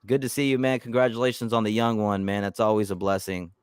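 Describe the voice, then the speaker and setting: A man hosting a casual live stream about his football team, talking in animated bursts and often repeating words as he restarts. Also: lowish voice, fast talker, clear, resonant tone, no room sound, studio quality. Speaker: YouTube channel host.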